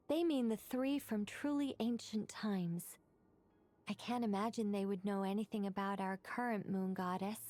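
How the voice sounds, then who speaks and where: A woman playing a composed, learned guide in a video game, explaining politely and in measured phrases. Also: a little high, darkish tone. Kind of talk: video game character acting. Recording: background music.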